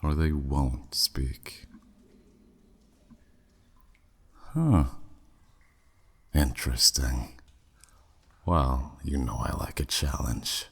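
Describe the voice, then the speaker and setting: An exceptionally deep-voiced demon played by a man speaks slowly, with a husky rasp. He is a haughty, flirty buyer in an ASMR audio drama, drawling with sardonic, dismissive skepticism. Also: a bit bright, heavily acted. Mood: probing, interested. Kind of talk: scripted ASMR audio roleplay.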